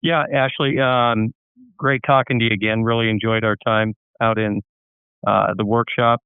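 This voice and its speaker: A man co-hosting a tennis podcast, asking a guest a friendly, measured question. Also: lowish voice, flat delivery, clear, resonant tone, dry recording. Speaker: podcast co-host, tennis coach. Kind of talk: casual interview question. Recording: tinny phone-like line, dry.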